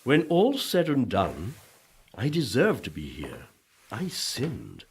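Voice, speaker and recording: A man's voice: mid-range voice, huge pitch swings, slow, a little hiss or hum, exaggerated voice. A man playing the ghost of a dour, guilt-ridden Puritan preacher in a comic radio play, pompous and exasperated in manner. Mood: uneasy, self-justifying. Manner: pompous, exasperated, self-justifying.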